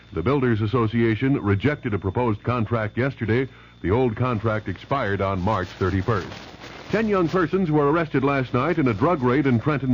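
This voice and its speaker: A man reading local news and weather on the radio in a brisk, even newsreading style. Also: mid-range voice, a little hiss or hum. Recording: dull old radio broadcast.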